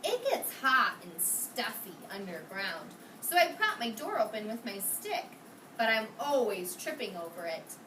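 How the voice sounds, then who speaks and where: A woman voicing a mildly bothered storybook badger in a measured, explanatory way. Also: mid-range voice, very animated, sharp tone, pitched below the performer's own voice. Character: grumbling storybook badger wanting a ribbon. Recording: roomy, noisy classroom from a distance.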